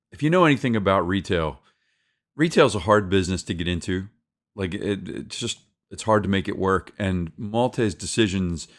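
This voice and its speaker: A male podcast host with a somewhat low voice speaks in an easygoing, conversational way, laughing often and breaking off to restart his sentences. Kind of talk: casual podcast interview.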